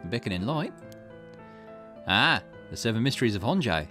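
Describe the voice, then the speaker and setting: A man's voice: mid-range voice, big pitch swings, moderate pace, pushed and bright, soft background music. A man voicing a cagey, theatrical stranger in a visual novel, with a teasing, mock-polite manner. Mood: sly curiosity. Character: cagey, theatrical, shady male stranger loitering at night.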